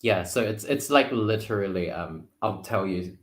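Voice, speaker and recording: A man chatting casually and reflectively as a guest tarot reader, halting often and leaning on "like" and "you know". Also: fairly low pitch. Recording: roomy, echoing livestream call.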